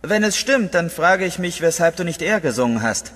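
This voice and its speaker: An actor playing a stern, condescending narcotics captain in a German radio play. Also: male voice, fairly high pitch, expressive, a little fast, fairly bright tone, in a large hall. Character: stern older male narcotics captain, mocking.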